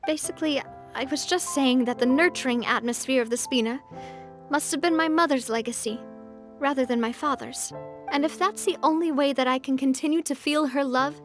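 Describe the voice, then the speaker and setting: A woman playing a warm, gracious young noblewoman in a video game, speaking in a gentle, earnest way. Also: high-pitched. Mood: somber, reflective.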